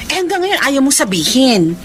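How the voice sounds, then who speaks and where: An actress playing a nosy, materialistic young friend in a radio drama, exclamatory and coaxing. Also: female voice, high-pitched, sing-song, normal speed, a little hiss or hum.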